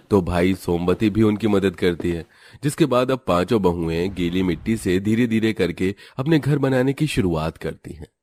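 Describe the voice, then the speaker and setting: Low-voiced male story narrator. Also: darkish tone.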